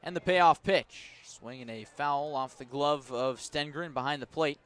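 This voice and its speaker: Higher-pitched man calling baseball play-by-play. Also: fairly bright tone. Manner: measured delivery that rises on action calls. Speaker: baseball play-by-play radio announcer. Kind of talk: live sports commentary with descriptive asides. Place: outdoor ballpark broadcast position above the stands.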